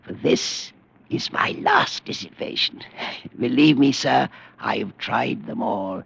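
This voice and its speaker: An actor playing a sickly, decadent old man with a gravelly voice, savouring his words in a vintage radio drama. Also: mid-range voice, animated, slow pace, fairly bright tone, no echo, exaggerated voice. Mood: ghoulish relish. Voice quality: creaky, gravelly voice. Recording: dull, lo-fi vintage radio recording.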